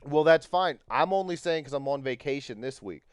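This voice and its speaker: A man's voice: a little high, lively intonation, a little fast, clean tone, dry recording, clean studio sound. A man in his thirties chatting casually on a livestream, rambling with many fillers. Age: 30s. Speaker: co-streamer.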